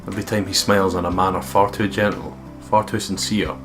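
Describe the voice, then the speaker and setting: Low-voiced man reading narration. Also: fairly even intonation, a little fast. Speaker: let's play host. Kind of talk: reading visual novel narration aloud. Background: game music with guitar.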